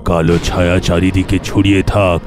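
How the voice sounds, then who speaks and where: A man playing a dreadful, power-hungry demon in an animated horror story, with a menacing, drawn-out delivery. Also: sits low, background noise, natural voice. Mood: menacing, commanding.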